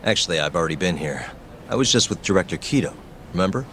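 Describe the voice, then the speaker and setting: A man's voice: deep voice, lively intonation, quick pace, fairly bright tone, slight background noise. A man acting in an English game dub as a laid-back, wry, confident detective who talks casually and unhurriedly. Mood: casual, polite.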